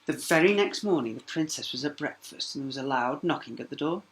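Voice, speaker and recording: A man's voice: mid-range voice, lively intonation, brisk, fairly bright tone, poor audio. A man narrating a children's story expressively as a teacher, with small asides to young listeners.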